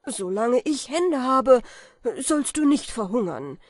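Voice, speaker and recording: A woman voicing a desperate young Scottish peasant man who pleads in anguish, slow and drawn-out, for a storybook reading. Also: very high-pitched, big pitch swings, no echo, clean studio sound, voice pushed up, heavily acted.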